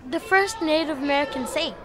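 A woman's voice: sits high, a little fast, a crowd in the background, natural voice, rough recording. An actress playing an outspoken, friendly young girl in an audio drama, blunt and chatty. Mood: candid.

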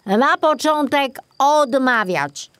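A woman's voice: high voice, expressive, very slow, fairly bright tone, no echo, voice pushed up, heavily acted. A woman voicing a fussy, protective male forest gnome in a children's story, with a knowing, admonishing manner. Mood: reassuring.

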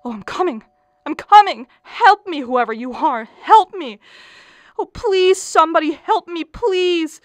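A frantic middle-aged wife and mother of the bride, acted by a woman for a radio play, pleads in a high voice. She draws her words out with anxious melodrama, heard in a dry, close recording. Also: animated, a little slow, no room sound. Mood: desperate. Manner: dramatic, wailing, pleading.

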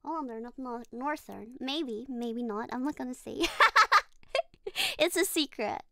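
A woman's voice: on the high side, measured pace, creaky, heavy background noise. A woman streaming a horror game, chatting casually, giggling and talking to her character. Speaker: VTuber streamer. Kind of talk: casual gaming livestream chat.

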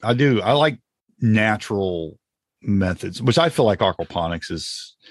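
A man's voice: on the low side, lively intonation, unhurried, dry recording, studio quality. A man hosting a podcast, chatting casually in a folksy way as he explains things off the cuff. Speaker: podcast host. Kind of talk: casual chat about home gardening.

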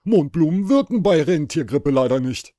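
A man's voice: fairly high pitch, animated, normal speed, dark tone, dry recording, studio quality, close to an everyday voice. A man voicing a kindly, concerned old Man in the Moon in a children's audio play, giving advice. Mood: grave.

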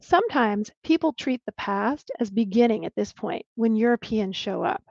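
A woman's voice: a little high, expressive, moderate pace, darkish tone, dry recording. Woman archaeologist lecturing over a video call. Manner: clear explanatory lecturing with small restarts. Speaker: archaeologist giving a talk. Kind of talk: presenting a lecture with slides. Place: home room on a video call. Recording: dull, lo-fi dry video call audio.

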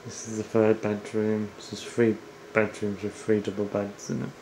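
Middle aged guy filming a walk round a house, monotone, low key, kinda trailing off, noisy camera audio. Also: moderate pace. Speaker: vlogger giving a house tour. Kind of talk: casual off-the-cuff narration while filming. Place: indoors, walking through rooms of a house. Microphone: handheld camera at arm's length.

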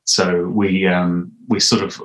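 Brief: British male creative being interviewed, very low voice, hesitant phrasing, roomy video-call sound. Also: little pitch movement, slow. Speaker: interviewed audio drama creator and composer. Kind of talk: casual interview talk. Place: small room indoors. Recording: echoey room on video call.

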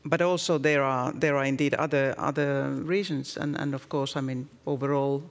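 A man answering on a panel in a halting way, repeating words often. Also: medium pitch, fairly even intonation. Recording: reverberant stage room.

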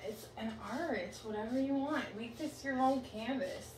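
A woman's voice: a little high, moderate pace, a little mellow. A woman in her thirties chatting casually as she paints furniture, gushing, with lots of fillers. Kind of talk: casual how-to narration while working. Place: tiled room indoors at home. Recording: echoey room, distant mic, noisy.

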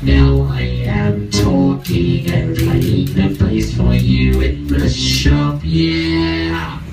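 A man casually demonstrating a vocoder effect, his voice turned into robotic musical tones by a bass guitar. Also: big pitch swings, drawn-out words. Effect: vocoder through bass guitar, robotic musical tone. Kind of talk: casual effect pedal demo. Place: music shop, indoors. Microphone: stand mic into effect pedal. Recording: roomy, loud bass mixed with voice.

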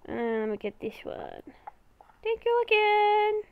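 Slow, high-voiced woman gaming. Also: very animated, vocal fry, narrow, tinny audio. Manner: talks to herself in short, halting phrases. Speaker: gamer recording a roleplay series. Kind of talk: casual gameplay commentary.

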